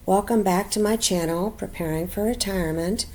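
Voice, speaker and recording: A middle-aged woman narrating a personal finance video as a voiceover, slightly raspy from illness, halting with many ums and restarts. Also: medium pitch, darkish tone, rough recording. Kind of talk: casual voiceover narration over a screen recording. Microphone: home voiceover mic.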